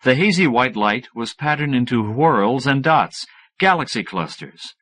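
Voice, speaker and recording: A man reading first-person fiction as an audiobook narrator, in an expressive scripted style. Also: mid-range voice, a little slow.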